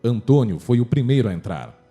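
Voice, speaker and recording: A man's voice: medium pitch, sing-song, fairly quick. A man with a Brazilian accent narrating a scripted horror story for radio drama. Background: faint music.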